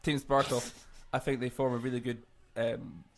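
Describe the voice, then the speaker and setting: A man speaking as a panelist in an informal discussion, haltingly, repeating words as he goes. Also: mid-range voice, flat delivery, slight background noise. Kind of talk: casual discussion.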